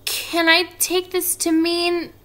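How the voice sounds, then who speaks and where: A woman's voice: very high voice, completely flat delivery, takes it slowly, a bit bright, pitched above the performer's own voice, heavily acted. A woman voicing an anxious, tender young male pig in a children's storybook, earnest, pleading and exclaiming. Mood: excited, joyful. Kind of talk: children's storybook character reading.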